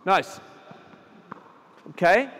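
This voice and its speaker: Man coaching kids in an echoey hall. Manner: encouraging, brisk instructions to children; projects his voice loudly. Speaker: tennis coach. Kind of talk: on-court coaching demonstration. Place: indoor tennis hall at a conference.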